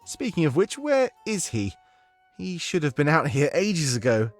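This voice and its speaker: An actor playing an earnest young rebel leader who talks in an encouraging, expressive way, voiced for a visual novel game. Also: male voice, fairly high pitch, moderate pace, soft background music.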